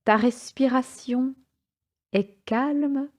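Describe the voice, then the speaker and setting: Female meditation guide, soft mellow tone, very slow and calm delivery, short phrases with spacious pauses. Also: sits high. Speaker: yoga nidra instructor.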